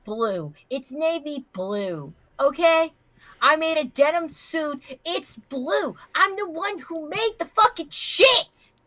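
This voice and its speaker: Bright, animated woman, blunt and sweary. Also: high voice, slow pace, no echo. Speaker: livestream co-host. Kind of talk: casual livestream banter.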